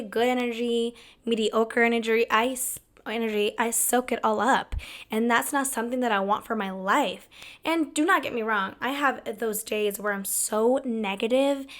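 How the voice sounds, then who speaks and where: Hoarse chatty young woman host. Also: on the high side. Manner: chatty, candid, rambling. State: hoarse, recovering lost voice. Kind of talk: casual talk to camera.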